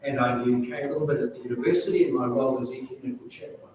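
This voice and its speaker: A retired minister leads a funeral service in a large church, speaking loudly without a mic in a measured way with frequent ums. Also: male voice, mid-range voice, a little hiss or hum. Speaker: funeral officiant. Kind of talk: funeral address. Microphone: distant recorder, no amplification. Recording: echoey church recording from far off.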